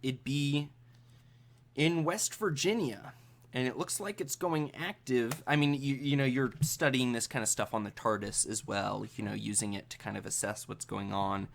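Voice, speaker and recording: Creaky-voiced man narrating a tabletop game. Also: mid-range voice, fairly even intonation, a little hiss or hum. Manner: halting, thinking aloud. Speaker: game master.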